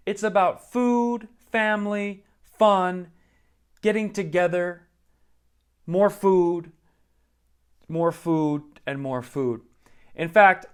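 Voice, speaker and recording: A man's voice: high voice, clean tone. A man presenting a virtual celebration in a playful, joking way as he introduces a comedy clip. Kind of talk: casual presenting.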